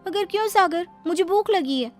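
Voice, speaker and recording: Young modern wife played by a woman, high voice, outspoken and argumentative, animated family drama. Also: moderate pace, soft background music. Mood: indignant, defiant. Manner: indignant, argumentative. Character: young modern city-bred daughter-in-law, outspoken and defiant.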